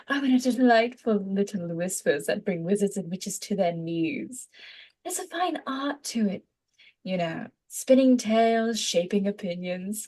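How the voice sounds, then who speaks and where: A woman's voice: fairly high pitch, darkish tone, pitched above the performer's own voice. Smug female tabloid journalist, played by a woman, coy, theatrical, savoring every word, fantasy story character. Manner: theatrical, drawn-out, savoring words. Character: smug, gossipy female tabloid journalist, coyly self-justifying.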